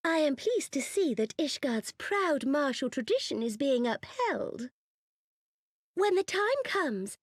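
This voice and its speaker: A gracious, regal dignitary from a fantasy game speaks with formal diplomatic courtesy. Her voice is high and very melodic, moving freely up and down. The sound is close and dry. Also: dry recording. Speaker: voice actor in a fantasy video game. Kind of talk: cinematic game cutscene acting.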